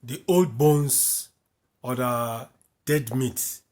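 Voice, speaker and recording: A man's voice: medium pitch, extremely slow, darkish tone. A man from Africa, teaching from the Bible by reading verses aloud and then explaining them, repeating key lines. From West Africa. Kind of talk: slow, repetitive Bible commentary.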